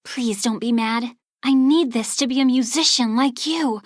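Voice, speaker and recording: A woman voicing an earnest, excitable young boy in a children's storybook, with eager, emphatic delivery. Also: on the high side, unhurried, no echo, studio quality. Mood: pleading. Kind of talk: children's storybook character reading.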